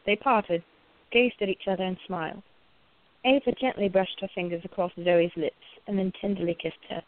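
A woman's voice: medium pitch, steady tone, moderate pace. A woman narrating a romantic radio play from a script. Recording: thin phone-like audio.

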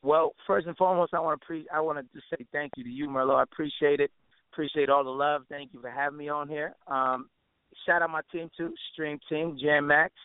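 Male interview guest, slightly high voice, easygoing and slangy, on a narrow, dry phone connection. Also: fairly even intonation, brisk, clear, resonant tone, no room sound. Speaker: guest, musician and entertainer. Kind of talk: casual interview chat. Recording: tinny dry phone line.

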